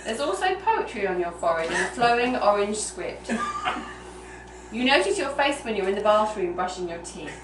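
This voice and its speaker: Woman, live storytelling reading, mid-pitched voice, echoey room, noisy distant recording. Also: very animated, moderate pace. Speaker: reader. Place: room at a small gathering with a laughing audience.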